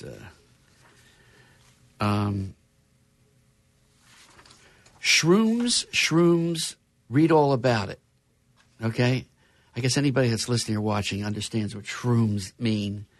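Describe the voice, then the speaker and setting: A chatty man hosting a relaxed conversation, his voice sitting at an ordinary middle pitch. The sound is close and dry, with no room around it. He reads out news items and adds wry comments. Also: no room sound. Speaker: podcast host. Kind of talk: casual chat.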